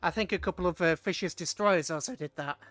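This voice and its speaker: A man's voice: sits high, fast, fairly bright tone, no room sound. A British man casually commentating a strategy game on a livestream, chatting with viewers and muttering rambling asides to himself as he plays.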